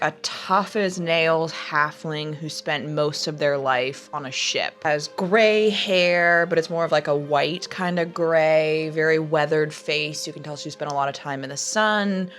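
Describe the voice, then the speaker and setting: A woman's voice: sits low, fairly bright tone. A woman playing a tabletop game, improvising description in an informal way full of "like". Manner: informal, peppered with "like". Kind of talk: casual improvised roleplay and description. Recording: background music under the voice. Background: music.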